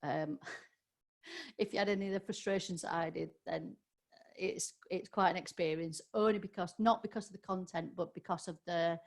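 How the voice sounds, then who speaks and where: A woman's voice: on the low side, fairly even intonation, moderate pace, vocal fry. A middle-aged woman with a Yorkshire accent, giving a live online training webinar in a chatty way, full of ums and restarts.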